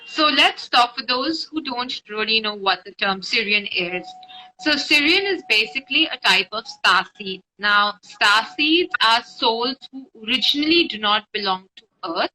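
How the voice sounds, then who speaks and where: A woman's voice: a little high, lively intonation, a little slow, bright, forceful tone, low-quality recording. A woman spiritual guide talking informally and explaining things on a live stream, recorded on a phone with faint noise. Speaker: spiritual guide and meditation teacher.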